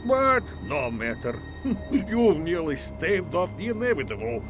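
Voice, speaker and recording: A man's voice: sits high, sing-song, unhurried, music in the background. An actor playing a grandiose, gloating video game villain, theatrical, pompous and condescending in the way he monologues. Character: gloating, grandiose male villain with fiendish schemes. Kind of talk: video game cutscene acting.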